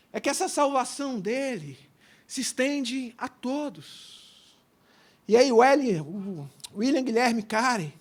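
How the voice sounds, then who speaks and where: A Brazilian man, a pastor, preaching a sermon in a church and telling stories, dropping to a hush and then rising loud. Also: extremely high pitch. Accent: Brazilian Portuguese. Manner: storytelling preacher, swings between hushed and loud. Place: church sanctuary.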